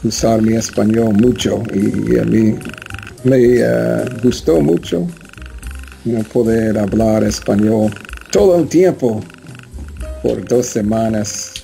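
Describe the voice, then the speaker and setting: A man with an American English accent, a Spanish learner, answering interview questions in a halting way with many fillers. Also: on the low side, creaky, warm tone, soft background music. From United States. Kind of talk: casual interview chat.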